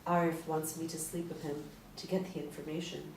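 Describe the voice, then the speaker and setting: A woman's voice: sits low, level tone, brisk. A woman playing a tough, sardonic young spy in a screenplay read, with a dry, cutting manner. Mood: cool, teasing. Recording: echoey room, distant mic, faint noise.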